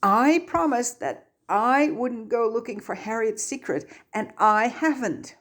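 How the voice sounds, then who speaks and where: Defensive teen boy, higher-pitched, self-justifying, storybook character, done by a woman. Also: expressive, unhurried, fairly bright tone. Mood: sheepish pleading. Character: defensive, evasive teenage schoolboy. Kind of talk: audiobook character reading.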